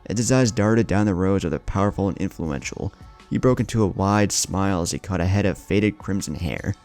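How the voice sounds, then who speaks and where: A man's voice: deep voice, brisk, a little mellow, soft background music. A man reading a dramatic scripted story as its narrator.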